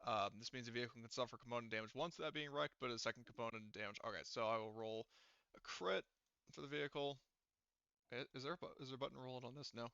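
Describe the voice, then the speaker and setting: Bright, crisp-voiced man running a game. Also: medium pitch, quick pace, no room sound, muffled recording. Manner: thinks aloud, casual swearing. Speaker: game master. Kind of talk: casual tabletop game narration.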